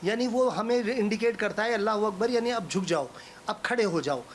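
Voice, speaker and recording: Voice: medium pitch, brisk, a little hiss or hum. A cleric being interviewed, explaining with emphatic repetition and illustrative examples.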